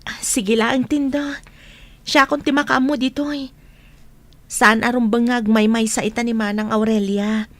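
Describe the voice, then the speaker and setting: An actress with an Ilocano accent playing a caring, gently coaxing village friend in a radio drama. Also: female voice, on the high side, fairly even intonation, normal speed, long pauses, no echo. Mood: gentle, concerned. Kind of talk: Filipino radio drama acting. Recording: noisy track with background ambience.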